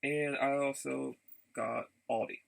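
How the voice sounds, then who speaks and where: A man chatting casually in a rambling vlog, haltingly, often repeating and restarting his phrases. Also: medium pitch, steady tone, vocal fry, slight background noise. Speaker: vlogger.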